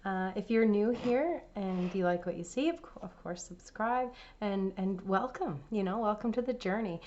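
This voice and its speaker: A woman hosting a cross-stitch vlog, her voice raspy from laryngitis, chatting casually with many fillers and restarts. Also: mid-range voice, normal speed, a little mellow, muffled recording, slight background noise. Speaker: cross-stitch channel host.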